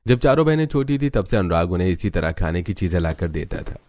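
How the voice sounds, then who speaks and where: A man's voice: low voice, very animated, quick pace, darkish tone. A man narrating a scripted family story for a cartoon, as a storyteller.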